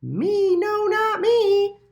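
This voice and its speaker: A plump pink pig from a storybook, put on by a woman reader. It protests loudly in a very high voice, dragging out its words in a huff. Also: big pitch swings, heavily acted. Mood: protesting. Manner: loud, drawn-out protesting. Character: fat pink pig, huffily denying blame. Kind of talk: storybook read-aloud character voice.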